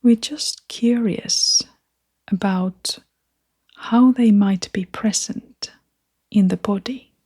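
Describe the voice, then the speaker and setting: A woman guiding a meditation in a soft, half-whispered voice, gentle and soothing, leaving long pauses between phrases. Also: mid-range voice, breathy edge. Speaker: meditation guide. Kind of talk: guided meditation narration.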